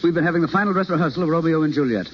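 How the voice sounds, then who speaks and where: An actor playing a polite, reserved acting teacher with an understated manner, in 1930s radio drama style. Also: male voice, a little high, very fast, natural voice. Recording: muffled, hissy 1930s radio recording.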